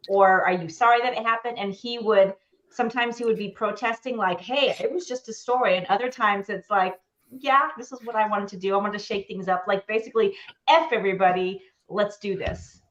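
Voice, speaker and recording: A middle-aged woman talks casually with fellow panelists. Her voice sits at a medium pitch, heard over a video call from a room at home. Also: steady tone, fairly quick, fairly bright tone, lo-fi sound. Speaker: panelist on an online discussion show. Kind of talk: casual chat.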